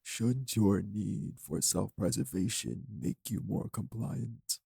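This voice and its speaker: A man playing a menacing, urbane old vampire kidnapper in an audio drama, with a measured, condescending, formal delivery and a gravelly voice. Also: mellow, no echo, exaggerated voice. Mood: condescending, intrigued. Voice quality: gravelly, low. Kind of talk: audio roleplay drama acting.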